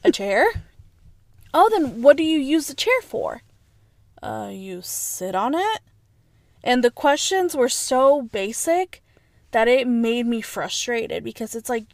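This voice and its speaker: A woman's voice: on the high side, creaky, no room sound. A young woman whose first language is Spanish, chatting earnestly as a podcast co-host and telling stories from her own life. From United States. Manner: earnest, reflective, storytelling. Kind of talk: casual chat.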